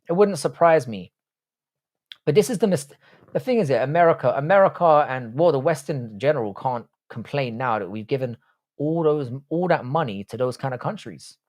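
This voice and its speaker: A man's voice: mid-range voice, lively intonation, moderate pace, darkish tone. A man hosting a casual livestream, reading chat aloud and bantering, swearing freely.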